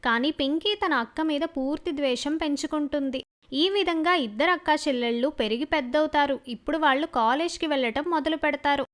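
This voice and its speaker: Female narrator for children's stories, high-pitched, scripted delivery. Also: a little fast.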